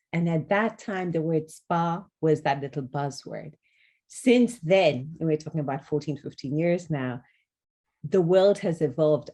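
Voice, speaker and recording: A woman in her forties with a low, mellow voice answers interview questions as an expert guest. She is articulate and earnest, explaining her field in a conversational way. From Kenya. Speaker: wellness consultant and author, podcast guest.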